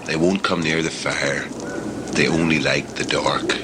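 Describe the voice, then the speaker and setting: An actor playing a gruff, world-weary jungle guide, terse, blunt and cynical, in a sci-fi audio drama. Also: male voice, a bass voice, fairly even intonation, unhurried. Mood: dry, grim. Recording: noisy amateur recording with background ambience.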